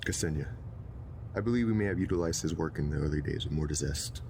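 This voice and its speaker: An actor playing a calculating, patient villainous boss with a gravelly voice and formal, measured speech in an audio drama. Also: male voice, a bass voice. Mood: scheming, composed. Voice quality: gravelly, creaky. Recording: clearly noisy ambience behind.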